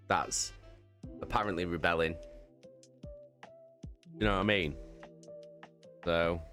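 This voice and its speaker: A man's voice: sits low, fairly bright tone. A man, chatting loosely through a book recap on a livestream, with fillers and the odd swear word. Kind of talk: casual book recap chat.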